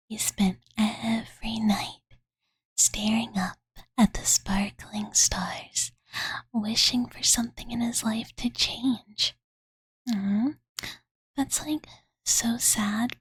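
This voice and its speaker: Woman acting a breathy whispering cozy dragon girl. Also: fairly high pitch, no echo, full character voice. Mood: cooing sympathy. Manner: ditzy valley-girl filler talk, cutesy third-person self-reference. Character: cozy, playful, doting young dragon girl. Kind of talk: whispered ASMR roleplay bedtime storytelling. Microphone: close Blue Yeti condenser mic.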